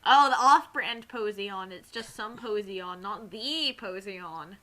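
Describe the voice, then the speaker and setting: Female banter partner, slow-paced and sharp-toned, wry and teasing in casual commentary. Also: medium pitch. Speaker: co-commentator on fan fiction.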